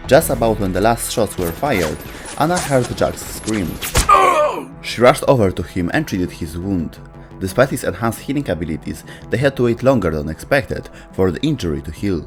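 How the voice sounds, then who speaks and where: A man narrates a scripted video essay in a fairly low voice. His intonation moves in a lively way, and faint music plays underneath. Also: normal speed.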